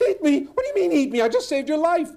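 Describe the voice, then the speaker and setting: A man voicing a small, frightened squirrel in a told story, squeaking out indignant protests. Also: sing-song, quick pace, creaky, a little mellow, exaggerated voice. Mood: panicked protest.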